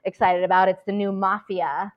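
A woman's voice: medium pitch, level tone, normal speed, muffled recording. A woman actress chatting as an interview guest, talking freely with many fillers and restarts. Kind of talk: casual interview chat.

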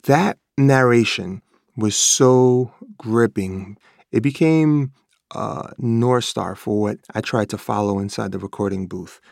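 A man's voice: fairly low pitch, slow pace, vocal fry, a little mellow, dry recording, studio quality. A man who is an author and teacher, speaking eagerly in prepared remarks.

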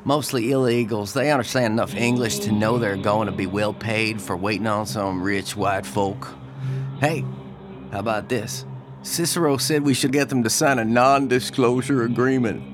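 An actor playing a shady, breezily ruthless older brother and political fixer in an audio drama, with a folksy, glib and coarse manner. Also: male voice, medium pitch, fairly even intonation, moderate pace, music in the background, natural voice. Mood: reassuring, sly.

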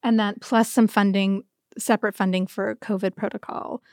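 A woman's voice: mid-range voice, fairly even intonation, moderate pace, darkish tone, no echo, clean studio sound. A young adult woman chatting casually and enthusiastically as a podcast co-host, often breaking into giggles.

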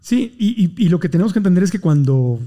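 A man's voice: sits high, lively intonation, fast, mellow. A man hosting a casual interview, building his points with questions. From Mexico. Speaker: interview host.

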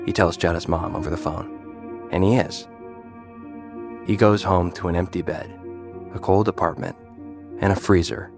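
A man reading scripted narration for an audio drama. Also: low voice.

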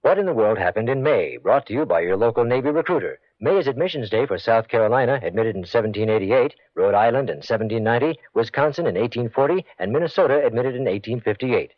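Brisk male radio announcer, dry sound. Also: mid-range voice, animated, no room sound. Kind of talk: scripted radio public-service spot. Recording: dry, muffled old radio spot.

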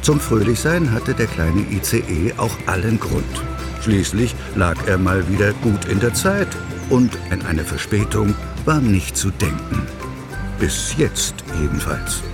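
A man narrating a scripted children's story as an expressive storyteller. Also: on the low side, unhurried. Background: music.

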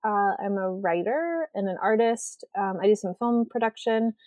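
A woman's voice: medium pitch, expressive, moderate pace, darkish tone, no room sound. A woman from Western Washington, a writer and artist, talking casually as an interview guest, with lots of ums and rambling asides. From Western Washington, USA.

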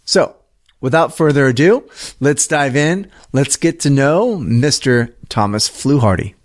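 A man's voice: medium pitch, expressive, unhurried. A man hosting a podcast, speaking conversationally as he introduces the show and his guest. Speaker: podcast host.

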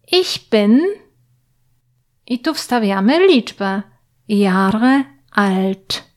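A woman teaching German to learners, speaking clearly and patiently and pausing so the listener can repeat. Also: fairly high pitch. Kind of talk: slow, clear language lesson with repetition drills.

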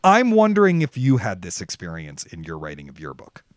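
A man speaks as a podcast co-host with a very animated, varied pitch. He is conversational and thoughtful, leaning on key words for emphasis. The sound is dry and close. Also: a little fast, no echo. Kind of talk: conversational discussion of writing craft.

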